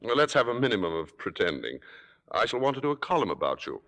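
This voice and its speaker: An actor playing a suave, calculating theater columnist in a vintage radio drama, with urbane, formal, deliberate phrasing. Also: male voice, on the low side, very animated, a bit bright. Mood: suave probing. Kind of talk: 1950s radio drama acting with first-person narration. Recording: vintage radio broadcast with music.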